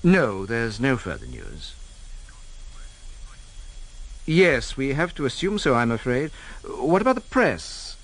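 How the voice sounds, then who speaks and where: An actor playing a clipped, authoritative security official in a radio drama, formal and terse. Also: male voice, mid-range voice, huge pitch swings, measured pace, fairly bright tone, exaggerated voice. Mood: terse, commanding.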